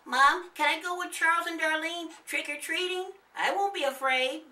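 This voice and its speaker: Eager, high-pitched little boy. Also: normal speed, sharp tone, recorded from a distance, exaggerated voice. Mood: eager, pleading. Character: eager, boastful little boy playing superhero. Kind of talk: children's storybook character voice.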